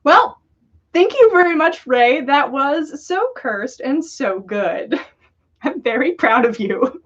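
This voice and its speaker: Woman podcast host, playful, high-pitched, very varied intonation, casual storytelling, slightly dull livestream audio. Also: expressive, takes it slowly. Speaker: podcast host reading listener stories.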